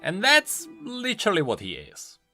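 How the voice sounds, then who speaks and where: Very animated, bright-voiced male video commentator. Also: high voice, moderate pace. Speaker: video host, game lore commentator. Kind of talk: scripted commentary on game voice lines. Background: music.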